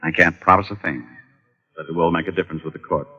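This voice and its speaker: Low-voiced deadpan American private eye. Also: male voice, quick pace, breathy edge, full character voice. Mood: hard, pressing. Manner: terse, deadpan. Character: cool, wisecracking male private detective, tough and dry. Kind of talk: 1950s radio noir acting and first-person narration. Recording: dull old radio recording with music.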